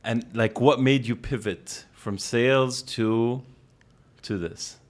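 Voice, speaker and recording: A man hosting a podcast, chatting warmly with his guest and asking questions. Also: medium pitch, steady tone, takes it slowly. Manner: friendly, warm, chatty with many restarts. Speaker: podcast host. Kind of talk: casual podcast conversation.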